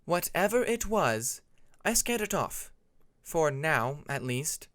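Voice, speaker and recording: Man voicing a measured female dragon, pitched up. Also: very animated, fairly bright tone, no room sound, full character voice. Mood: reassuring. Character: calm female dragon, knowing dream-walker, frank.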